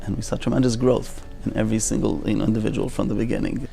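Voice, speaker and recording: A man's voice: on the low side, fairly even intonation, quick pace, gravelly, dark tone. A man giving a testimonial for a community video. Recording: music playing behind the voice.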